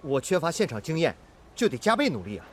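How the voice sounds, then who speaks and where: A man's voice: a little high, very animated, quick pace, breathy edge. A man acting an earnest, eager young factory apprentice in a radio drama, speaking with deference. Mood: earnest, modest. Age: young adult.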